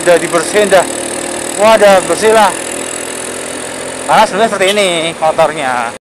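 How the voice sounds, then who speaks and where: A man's voice: sits high, lively intonation. A man riding a motorcycle, chatting casually in a loose, rambling way. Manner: rambling, repeats phrases, pauses to recall words. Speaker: motovlogger. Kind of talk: casual narration while riding. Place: outdoors on a motorcycle on a road. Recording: engine and road noise.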